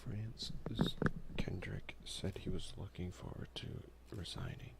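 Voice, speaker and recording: A man doing casual, hushed ASMR gaming commentary, soft-spoken and often slipping into a whisper, close to the mic. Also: sits low, very animated, a little slow, vocal fry, rough recording. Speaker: let's play ASMR video creator.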